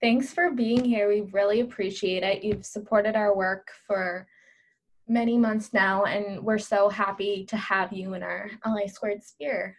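Medium-pitched woman podcast host. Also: steady tone, a little slow. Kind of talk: conversational interview.

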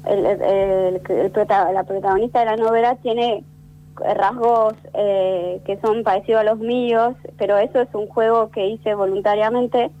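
A woman guest, French by first language, speaking thoughtfully with many pauses and restarts in an interview. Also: mid-range voice, steady tone, muffled recording. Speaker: interview guest, actress and writer. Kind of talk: conversational interview.

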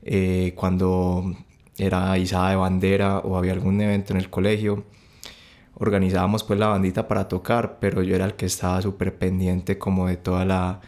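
A young man with a Bogotá Colombian accent, telling his story as a podcast guest in a halting, relaxed way with many restarts. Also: low voice.

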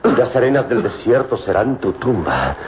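A very noisy, muffled radio recording holds a steadfast adventure hero. His voice is fairly low and grave, exhorting in slow, measured phrases. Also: big pitch swings. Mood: urgent encouragement. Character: steadfast adult hero urging on his young companion. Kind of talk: melodramatic radio drama acting.

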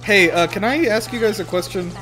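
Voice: medium pitch, brisk. A podcast co-host giving casual, sarcastic, joking reactions to a movie, with the film playing behind. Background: film music and dialogue.

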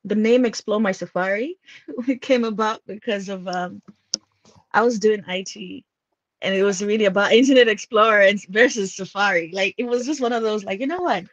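A woman's voice: mid-range voice, moderate pace, dry recording. A young woman from Malawi, a musician guest chatting casually in an interview, with frequent laughs and hesitant fillers. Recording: dull lo-fi sound.

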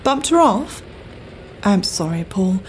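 A woman's voice: mid-range voice, very animated, slow, slight background noise. An actress with a British accent playing a cool, worldly 1930s government agent woman in an audio drama, genteel, dry and arch. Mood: coolly detached. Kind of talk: full-cast audio drama acting.

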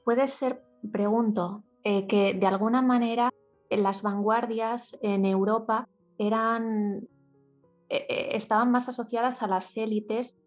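Woman interviewer from Spain, halting phrasing. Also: a little high, steady tone, soft background music. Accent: Spanish from Spain. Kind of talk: conversational interview.

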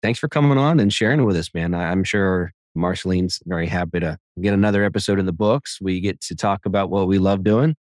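A man's voice: low-pitched, a little fast, hardly any pauses, a little mellow, no echo, studio quality. A man in his thirties co-hosting a podcast, joking with his co-host and wrapping up the episode in an upbeat way. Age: 30s. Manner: teasing, upbeat, wraps up the show. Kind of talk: podcast conversation.

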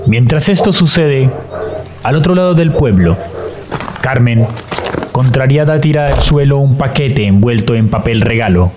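A man narrating a scripted radio drama in a measured, solemn storytelling manner. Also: medium pitch, background noise. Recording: loud music over the narration.

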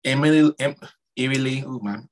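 A man's voice: medium pitch, a little fast. A man co-hosting a gossipy chat, speaking casually in blunt, profane, sassy slang. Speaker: podcast co-host. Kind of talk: casual gossipy chat about reality television.

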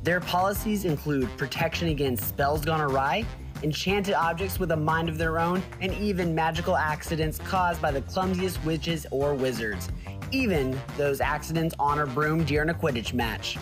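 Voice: medium pitch, normal speed, over music. An announcer reading a scripted commercial in a promotional style. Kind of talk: scripted advertisement read.

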